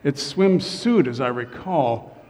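Joking middle-aged pastor in a reverberant hall. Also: male voice, medium pitch, animated, slow, a little mellow. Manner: joking, self-deprecating asides. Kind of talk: humorous sermon. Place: church sanctuary. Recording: long reverb of a church hall.

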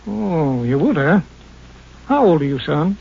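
An actor playing a gruff, folksy old storekeeper in a 1950s radio drama. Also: male voice, fairly high pitch, very animated, a little slow, exaggerated voice. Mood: folksy, wry. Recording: muffled old radio recording.

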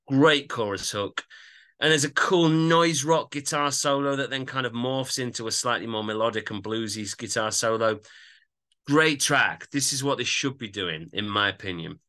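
A man's voice: on the low side, pushed and bright. A man chatting casually as a podcast host, giving opinionated, analytical reviews of music.

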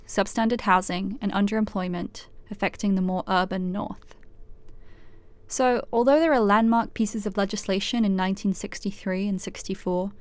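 Woman, medium-pitched voice, scripted documentary narrator, composed, clearly phrased. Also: darkish tone, no echo. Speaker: host, narrator.

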